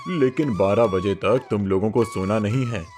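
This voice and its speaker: A man's voice: on the low side, normal speed, a little mellow, soft background music, close to an everyday voice. A man voicing a kindly, grateful wandering holy man in a cartoon story, solemn and instructive.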